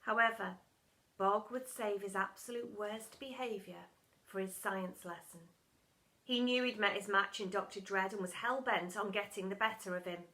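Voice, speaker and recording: A woman reading a children's storybook aloud as expressive narration, with dramatic, emphatic storytelling. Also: mid-range voice, normal speed, low-quality recording. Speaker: primary school story reader.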